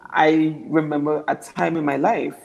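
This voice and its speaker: A young woman from Anguilla with a naturally deep voice, answering interview questions earnestly and often repeating words as she builds her points. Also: little pitch movement, a little slow, creaky, darkish tone, dull audio. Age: young adult. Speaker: political candidate.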